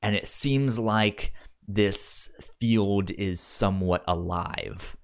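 A man co-hosting a podcast in casual chat, halting and often repeating the starts of his words. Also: lowish voice, clear, resonant tone. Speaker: podcast co-host.

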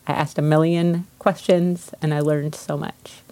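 This is a woman with a distinctly low-pitched voice. She talks casually and informally, like an interview guest recounting her own story. The sound is dry, with hardly any room echo. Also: level tone, measured pace, mellow. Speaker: interview guest, baker and business owner.

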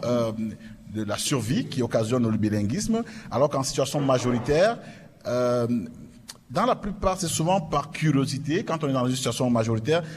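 A man's voice: medium pitch, darkish tone. Man moderating a panel in a hall. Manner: announcer-like, with emphatic rising openers. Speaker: forum moderator. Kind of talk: moderating a live panel forum. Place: event hall with an audience. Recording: reverberant hall, faint noise.

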